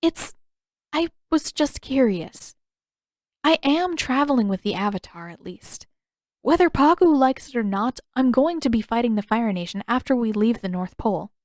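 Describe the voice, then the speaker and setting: A woman's voice: a little high, animated, normal speed, a little mellow, dry recording, clean studio sound, voice pushed up, natural voice. Woman as an earnest, stubborn teenage girl. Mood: hesitant, probing.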